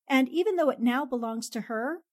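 Actress as poised, higher-voiced 1940s newspaperwoman. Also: a little fast, lightly acted. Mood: polite, reassuring. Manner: polite, articulate, composed. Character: poised, tactful, confident female newspaper columnist. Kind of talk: 1940s radio drama acting.

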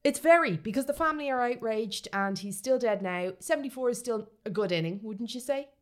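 An Irish woman co-hosting a podcast, chatting casually with wry, deadpan comic remarks. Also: fairly high pitch, fairly quick. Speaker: podcast co-host. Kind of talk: casual comedic banter.

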